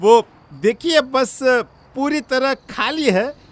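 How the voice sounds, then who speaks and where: A man's voice: very high-pitched, slow pace, dry recording. An actor playing an ominous, warning village man in a cartoon horror story, emphatic and foreboding. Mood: cautionary. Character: ominous, warning villager who fears a haunted bridge. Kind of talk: animated horror story acting.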